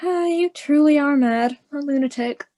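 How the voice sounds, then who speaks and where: Woman playing a blunt, high-voiced, sceptical prisoner. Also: mellow, natural voice. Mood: probing, sceptical. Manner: blunt, questioning, measured. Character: hard, sceptical female prisoner, coolly probing. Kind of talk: theatrical radio play dialogue.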